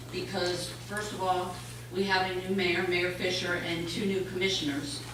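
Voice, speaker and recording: A woman presenting the monthly financial report to a town board, explaining plainly in a loud voice. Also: mid-range voice. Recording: echoey meeting hall, mic far off, clear noise.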